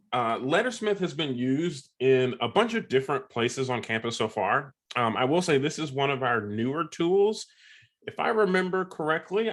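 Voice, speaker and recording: A man with a stuffy sore-throat voice, presenting a webinar in a halting way, with many ums and uhs. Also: fairly high pitch. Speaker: software ambassador presenting a webinar. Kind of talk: casual presentation.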